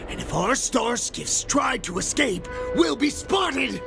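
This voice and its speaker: A man's voice: very high-pitched, big pitch swings, slow pace, vocal fry, heavily acted. An actor voicing an anxious, devoted wolf-beast underling in a video game, urgent and servile as he pleads. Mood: alarmed, urgent. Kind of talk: video game character acting. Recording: music under the voice.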